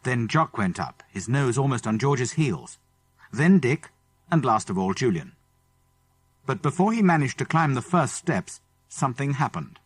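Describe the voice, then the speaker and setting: A man's voice: mid-range voice, big pitch swings, unhurried, breathy edge, faint music behind. A man with a British English accent narrating a children's adventure story dramatically. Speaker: audiobook narrator. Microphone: close studio microphone.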